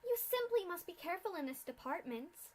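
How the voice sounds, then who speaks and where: A woman's voice: very high-pitched, sing-song, brisk, pitched above the performer's own voice. A woman voicing a sadistic, mocking female pony scientist in a fanfic reading, with a theatrical, mock-polite sneer.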